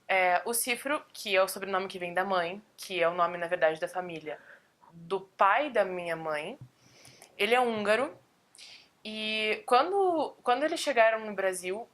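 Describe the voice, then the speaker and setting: A woman's voice: medium pitch, a bit bright. A woman speaking in a casual interview, thoughtful and explanatory.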